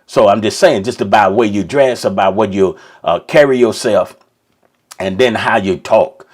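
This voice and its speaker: A man's voice: fairly low pitch, moderate pace, husky. A man preaching an informal sermon, rambling with many restarts and checking in with "right?". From Kentucky.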